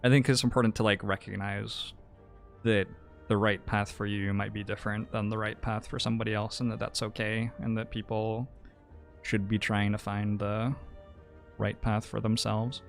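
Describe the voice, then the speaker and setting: A man's voice: on the low side, flat delivery, moderate pace, creaky, faint music behind. A man from New Zealand, a game streamer, chatting with viewers in a thoughtful, hesitant, musing way. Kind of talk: casual livestream chat.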